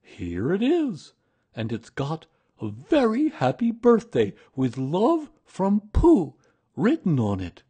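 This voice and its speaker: A man voicing a cheerful, kindly, simple-hearted toy bear from a storybook, excitable and earnest. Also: high-pitched, slow, slightly rough, voice pushed up, full character voice. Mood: proud, eager. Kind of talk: storybook character reading.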